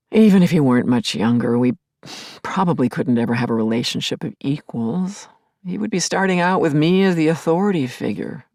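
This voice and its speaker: An actress playing a grieving, lovelorn middle-aged therapist in an intimate audio play monologue, confiding and wry, lingering on words and dropping to murmurs. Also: female voice, low-pitched, vocal fry, dark tone, dry recording, studio quality, exaggerated voice. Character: grieving, lovelorn woman therapist, self-aware and yearning. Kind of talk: intimate first-person dramatic monologue.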